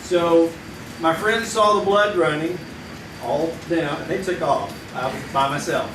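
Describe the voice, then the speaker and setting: A man's voice: on the high side, a little slow, natural voice. A man playing a folksy storyteller. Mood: lively. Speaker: campfire storyteller in a radio play. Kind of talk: live old-time radio play acting. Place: live theater stage. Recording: echoey live theater from far off with room noise.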